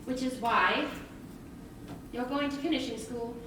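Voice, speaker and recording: A woman's voice: high voice, measured pace. An actress playing a prim, strict but loving mother in a theatrical stage play, proper and admonishing. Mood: firm, lecturing. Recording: echoey stage recording, distant mic, faint noise.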